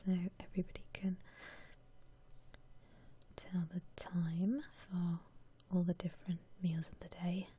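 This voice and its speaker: A woman whispering softly and breathily as she muses aloud through ASMR game commentary. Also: lowish voice, level tone, breathy edge. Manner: unhurried, soft-spoken musing aloud. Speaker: host of an ASMR gaming channel.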